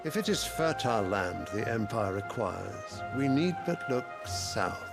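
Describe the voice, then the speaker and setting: An actor playing a stern, imperious emperor in a video game, haughty and oratorical in delivery. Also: male voice, on the low side, sing-song, creaky, heavily acted. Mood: cold disdain. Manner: haughty, oratorical, deliberate diction. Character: imperious, stern male emperor rebuking his council. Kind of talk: dramatic video game cutscene acting. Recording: background music under the voice.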